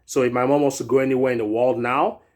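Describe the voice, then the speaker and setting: A man's voice: mid-range voice, steady tone, quick pace, darkish tone. A man in his thirties from Europe, a fitness vlogger giving a chatty motivational talk that often ends with "right?". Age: 30s. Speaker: fitness YouTuber. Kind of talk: casual motivational vlog talk.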